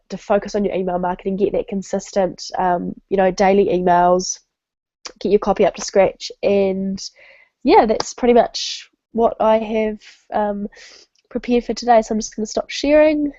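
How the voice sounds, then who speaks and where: A woman's voice: medium pitch, warm tone, no echo. A woman with a stuffy, nasal voice from a cold, giving a casual online marketing training on a call with many ums and restarts. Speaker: webinar presenter. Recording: dull lo-fi web call audio.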